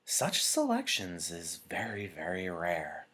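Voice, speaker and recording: A man's voice: low voice, huge pitch swings, a bit bright, full character voice. A man voicing a gracious, commanding female chief elder who addresses a crowd formally in an audiobook reading. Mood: solemn. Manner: formal, measured, solemn.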